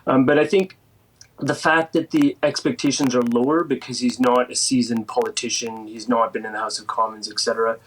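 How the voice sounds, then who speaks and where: A Canadian man arguing his points on a political panel in a measured, deliberate way. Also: mid-range voice, fairly even intonation, a little hiss or hum, low-quality recording. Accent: Canadian English. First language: not French. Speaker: political commentator.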